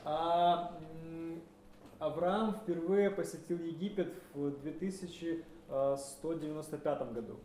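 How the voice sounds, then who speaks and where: A man interprets a sermon live, phrase by phrase, hesitating and correcting himself along the way. His voice is mellow and sits a little higher than most men's. He is recorded in an echoing hall with faint ambience behind him. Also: measured pace, a little hiss or hum. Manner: hesitant, with filler sounds and self-corrections.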